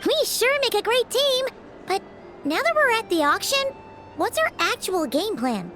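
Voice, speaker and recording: A woman voicing a tiny, excitable, childlike fairy companion in a video game, with a squeaky, perky delivery. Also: a little slow, background noise, full character voice. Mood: curious, eager. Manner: perky, refers to herself in third person.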